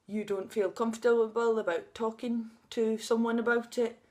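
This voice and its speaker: A woman's voice: a little high, fairly even intonation, normal speed, darkish tone. A woman in her thirties with a stutter who blocks on first sounds, talking openly and emotionally in a personal vlog. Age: 30s. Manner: unscripted, emotional, laughing at times. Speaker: vlogger. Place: outdoors on a sunny day.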